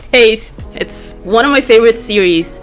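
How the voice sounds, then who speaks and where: A man with a light, high-pitched voice, speaking informally and with youthful slang as a guest in a casual interview. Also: expressive, a little slow, fairly bright tone. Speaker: interview guest, webcomic artist. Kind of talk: casual chat. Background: background music.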